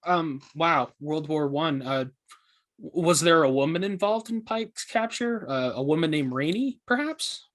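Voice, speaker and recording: A man playing an eager, curious podcast host who asks questions in an earnest, excitable, conversational way. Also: fairly high pitch, a little slow. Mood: amazed. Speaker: podcast host interviewing a guest. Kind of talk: conversational scripted podcast interview.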